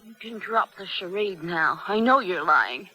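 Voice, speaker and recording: An actress playing a frightened but defiant young student held prisoner in a radio drama, protesting in anguish. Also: female voice, mid-range voice, lively intonation, unhurried, pushed and bright, rough recording. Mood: tense, defiant. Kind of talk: 1970s radio drama acting.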